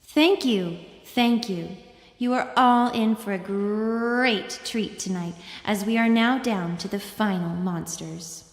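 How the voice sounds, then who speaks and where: Woman as a booming, echoing tiger show host. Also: takes it slowly. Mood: showy, grand. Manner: grand, theatrical show-host delivery. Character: fierce female tiger monster hosting a showy TV competition. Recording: booming reverberant hall, music underneath.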